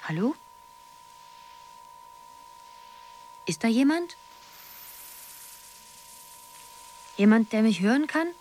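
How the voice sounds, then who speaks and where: A woman's voice: a little high, sing-song, quick pace. An actress playing a dreamy, playful young woman who is searching, in a poetic radio drama monologue. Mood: lost, searching. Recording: loud music or noisy ambience behind.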